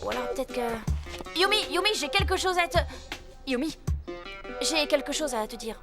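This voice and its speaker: A woman voicing an awkward, earnest teenage schoolboy in a cartoon, speaking hesitantly and haltingly. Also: high voice, expressive. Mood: nervous, hesitant. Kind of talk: animated series dubbing. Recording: music behind.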